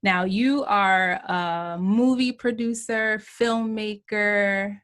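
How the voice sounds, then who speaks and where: Brief: woman podcast host, casual and chatty, mid-pitched, ready laugh. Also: fairly even intonation, a bit bright. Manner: chatty and upbeat, quick to laugh. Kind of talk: casual interview chat.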